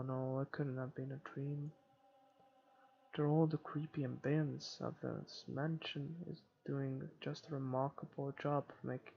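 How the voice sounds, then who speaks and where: A man reading first-person horror game narration aloud in a dramatic way, with some halting stumbles. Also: a little high, vocal fry, darkish tone, highs cut off, a little hiss or hum. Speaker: gamer reading a visual novel aloud. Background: game music.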